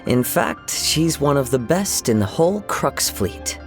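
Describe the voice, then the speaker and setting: A man playing a gentle, courteous young wandering swordsman in an anime video game, calm and soft-spoken. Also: mid-range voice, measured pace, soft background music.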